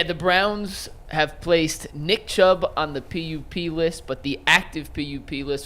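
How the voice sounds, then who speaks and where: Higher-voiced man giving sports updates. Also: a bit bright. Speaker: sports news update reader on the show. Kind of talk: factual news update.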